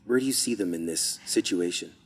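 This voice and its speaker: A man's voice: sits low, a little fast. A man hosting an interview, asking leading questions and giving short affirmations. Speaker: host. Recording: long reverb hall.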